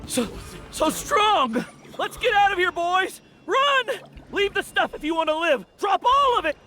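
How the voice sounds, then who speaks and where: A frantic video game bandit, pitched very high and swinging wildly. The voice is bright and piercing, yelling orders in alarm. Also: big pitch swings, measured pace, soft background music, exaggerated voice. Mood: panicked. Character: panicking bandit leader ordering his gang to flee.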